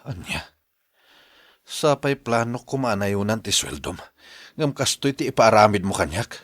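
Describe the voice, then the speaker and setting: A deep-voiced Ilocano office worker on the mend from a mild stroke, played by a male actor. He is stubborn and grumbling, in radio drama style, recorded close and dry. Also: animated, a little slow, a bit bright, no echo.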